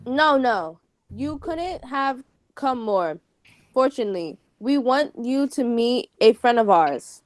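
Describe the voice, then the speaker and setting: A female reader plays a gracious society mother who is tried by her rude son. The voice is rather high and close, and the lines come haltingly, as read from a page. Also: lively intonation, dry recording. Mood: welcoming. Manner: halting read-aloud with stumbles.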